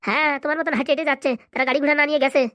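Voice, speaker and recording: A woman's voice: sits high, little pitch movement, rapid-fire, fairly bright tone, no room sound, clean studio sound. A woman voicing a cheeky, quarrelsome young male goon in a cartoon. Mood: bickering. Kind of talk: cartoon voice acting.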